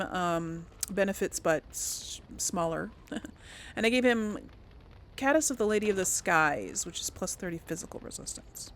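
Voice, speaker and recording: A woman narrates her own game playthrough in a casual way. She takes her time, thinking aloud at a slow pace in a medium-pitched voice. Faint noise and game ambience sit behind her. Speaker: gaming video host.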